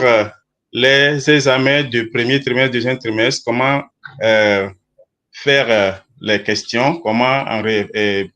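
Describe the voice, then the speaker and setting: A man's voice: mid-range voice, fairly bright tone. A man giving a formal opening to a teachers' workshop, speaking hesitantly and stopping often to search for words. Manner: hesitant, many "euh" fillers and restarts. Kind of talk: formal spoken introduction.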